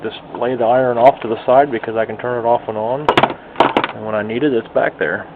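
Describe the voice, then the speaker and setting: A man's voice: medium pitch, fairly even intonation, fairly quick. A folksy, plain-spoken man giving a casual hands-on tutorial at a home workbench, picked up by the camera's microphone. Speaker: hobbyist radio restorer giving a demonstration. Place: indoor home workshop bench. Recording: muffled phone-like audio, loud room hum.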